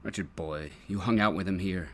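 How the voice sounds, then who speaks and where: A menacing young swordsman voiced by a man in a Shakespeare audio drama. His low, scornful voice is recorded close and dry. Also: normal speed, no room sound, shouting.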